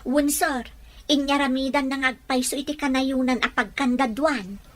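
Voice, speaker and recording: A woman's voice: high voice, steady tone, moderate pace, close to an everyday voice. A woman acting a deferential, anxious household servant in an Ilocano audio drama.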